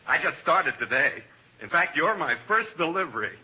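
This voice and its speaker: A man's voice: fairly high pitch, very animated, moderate pace. An actor playing an effusive, melodramatic, dim delivery man in a 1940s radio comedy. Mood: eager, sunny. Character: gushingly happy, dim drugstore delivery man. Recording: muffled, noisy old radio recording.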